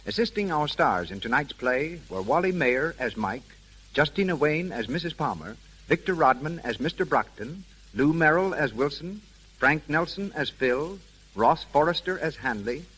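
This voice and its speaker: Husky, animated 1930s radio announcer man. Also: on the high side, poor audio. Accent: American. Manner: formal. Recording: old 1930s radio broadcast.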